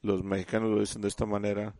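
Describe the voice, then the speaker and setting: A man giving a sermon in halting speech, often restarting and repeating words as he teaches the congregation. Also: lowish voice, little pitch movement, a little mellow, no room sound. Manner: addresses listeners as "usted". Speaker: church speaker.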